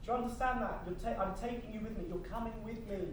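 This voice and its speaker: In an echoey, distant stage recording, an actor plays an earnest man with a fairly high voice. He is a gentle, resolute official of the afterlife, imploring in a measured way. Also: fairly even intonation, natural voice. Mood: tender, resolute. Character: earnest afterlife official pleading to choose his forever, tender and resolute. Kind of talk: stage play acting. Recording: echoey stage, distant mic, faint noise.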